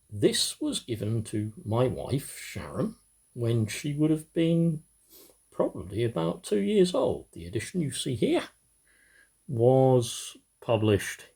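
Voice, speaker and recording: A middle-aged man reading a children's storybook aloud, with expressive, deliberate phrasing and pauses. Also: on the low side. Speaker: amateur reader.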